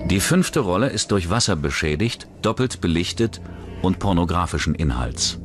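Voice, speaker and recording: A man narrating a scripted true-crime documentary in a measured, dramatic manner. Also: low-pitched, big pitch swings, over music.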